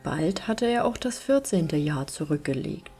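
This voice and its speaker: Low-pitched woman storyteller over soft music. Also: animated, unhurried, slightly rough. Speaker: storyteller, audiobook reader. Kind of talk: scripted fairy-tale narration.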